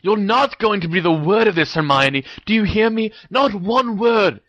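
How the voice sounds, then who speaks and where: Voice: medium pitch, big pitch swings, normal speed, no room sound, lo-fi sound, voice pushed up. A reader voicing a defensive teenage boy wizard in an audio story, grumbling and petulant. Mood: distressed, forceful.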